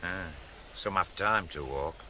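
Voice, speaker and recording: An actor in a 1980s radio drama playing a curt, guarded working-class father with a Northern English accent and a gruff, dismissive way of talking. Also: deep voice, measured pace, pushed and bright, exaggerated voice. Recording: faint noise on old radio broadcast.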